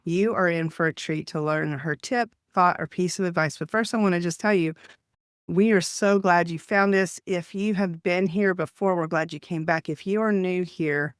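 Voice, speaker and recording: A man's voice: high voice, moderate pace, a bit bright, clean tone, no room sound, clean studio sound. A man in his fifties with a Texan accent, hosting an interview in an enthusiastic, chatty way. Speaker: host.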